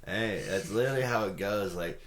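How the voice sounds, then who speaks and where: A young man with a low, raspy voice, talking casually as a podcast host. His speech is loose and full of restarts and fillers. The room around him rings with a noticeable echo. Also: fairly quick, a bit bright. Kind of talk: casual chat. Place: home studio.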